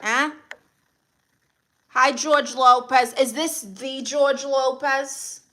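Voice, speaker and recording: A woman with a Brooklyn accent hosts a casual livestream. Her voice sits a little high and has a bright, forward edge. She greets viewers and responds to comments with playful, blunt sass. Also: steady tone, slow. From Brooklyn, New York, USA. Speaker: livestream host.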